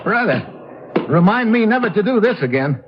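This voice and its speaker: An actor playing a wry, tough adventurer hero in an old radio drama, colloquial and sardonic. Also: male voice, sits high, sing-song, normal speed, background noise. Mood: nervous, strained. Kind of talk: 1950s radio adventure acting with first-person narration. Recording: muffled old radio recording with music.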